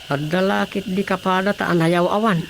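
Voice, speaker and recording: Lady doing a confused ilocano husband, lowish voice, radio drama, faint noise behind. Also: steady tone, normal speed, creaky. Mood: bewildered. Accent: Filipino, Ilocano. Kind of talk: radio drama comedy acting.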